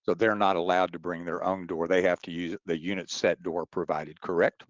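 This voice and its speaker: A man with a deep voice moderates an informal webinar, putting questions and explaining procedures. His audio is close and dry, with a slightly dull, lo-fi quality. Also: little pitch movement, moderate pace, dry recording.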